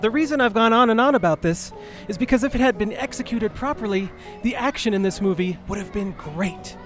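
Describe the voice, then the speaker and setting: A man's voice: high-pitched, expressive, fairly quick, music in the background. A man delivering scripted video-essay narration as the host of a film review.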